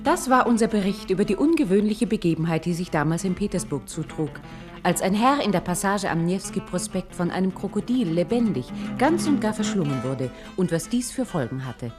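Lively, lower-voiced woman announcer. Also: a little fast. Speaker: radio play announcer. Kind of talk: scripted closing announcement. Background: balalaika music.

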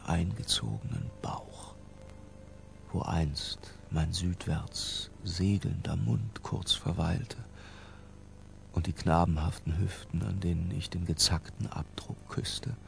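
An actor playing an obsessive, lyrical middle-aged intellectual who confesses in a hushed, deliberate literary radio drama narration. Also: male voice, sits low, gravelly, slight background noise, heavily acted. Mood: feverish reverie. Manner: hushed, deliberate, breaking into near-whispers.

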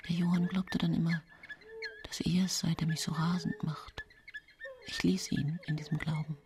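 An actress playing a seemingly pious, gentle yet secretly cold 19th-century housewife, confiding her story in a measured radio drama monologue. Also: low voice, flat delivery, background noise, natural voice. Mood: intimate, confiding. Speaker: actress narrating in a radio play. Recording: music bed behind studio voice.

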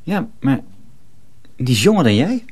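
An actor playing a small, naive fourteen-year-old apprentice boy, eager and childlike, with a husky voice in a radio drama. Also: mid-range voice, sing-song, a little slow, a little mellow. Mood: wary, eager.

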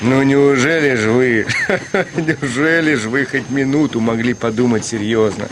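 A man acting a confident, ironic middle-aged gentleman in a radio drama, with a theatrical, teasing delivery. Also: medium pitch, a little slow, background noise, natural voice. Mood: mocking.

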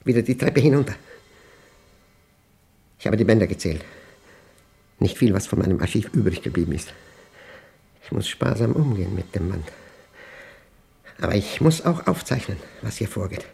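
An actor playing a paranoid, obsessive man recording a spoken diary in a radio play, in clipped, intense fragments with a gravelly, husky voice. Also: fairly low pitch, expressive, moderate pace, pauses often, warm tone, heavily acted. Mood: agitated, tormented. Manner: clipped, fragmented, intense delivery with emphatic outbursts.

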